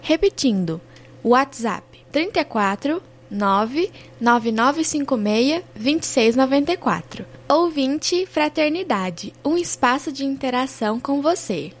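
A woman announcer reading a station promo, clearly reading out a phone number. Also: high voice, lively intonation, unhurried. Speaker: radio announcer.

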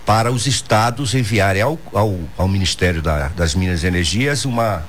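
A man's voice: low-pitched, normal speed, a little hiss or hum. Blunt, opinionated radio co-host man. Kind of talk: casual radio talk show.

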